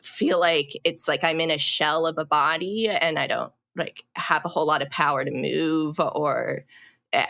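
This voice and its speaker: Young adult woman, podcast guest, low-pitched, reflective, hesitant. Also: vocal fry, fairly bright tone. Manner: halting and reflective, with many "like" fillers and restarts. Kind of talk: personal storytelling in a podcast interview.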